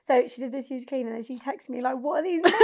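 High-voiced young woman, chatty podcast host. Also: sharp tone. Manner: chatty, swearing, laughing often. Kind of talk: casual chat.

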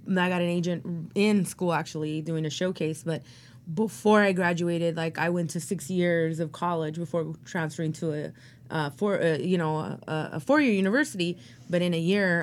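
A woman with a somewhat low voice speaks as a guest on an interview podcast. She is an actor and playwright who talks in a rambling, reflective way. Her speech is full of fillers. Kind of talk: interview conversation.

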